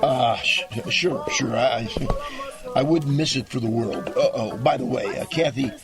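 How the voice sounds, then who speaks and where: A man's voice: medium pitch, measured pace, music in the background, natural voice. A man playing an earnest, dim, easily confused guy who stammers and hesitates in scripted comedy dialogue. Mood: flustered.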